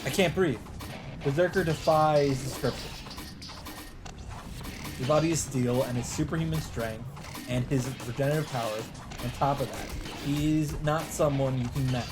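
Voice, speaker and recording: Let's player, mid-pitched voice, dramatic visual novel read with casual asides, music clearly behind. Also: normal speed.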